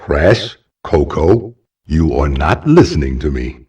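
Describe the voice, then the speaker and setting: Very deep wise old guardian spirit. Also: male voice, very animated, heavily acted. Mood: instructive. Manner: solemn, measured, instructive. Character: wise ancient male guardian mask spirit, benevolent mentor. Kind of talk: video game cutscene exposition. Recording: distant game audio with music.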